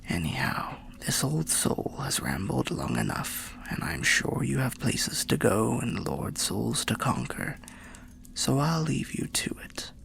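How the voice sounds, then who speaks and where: A man voicing a weary old undead soul, telling fantasy lore softly in a low, gravelly, breathy roleplay as a slow, courteous, cryptic storyteller. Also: huge pitch swings, whispered, full character voice. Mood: gentle, fond. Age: older. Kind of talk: soft-spoken fantasy roleplay ASMR narration.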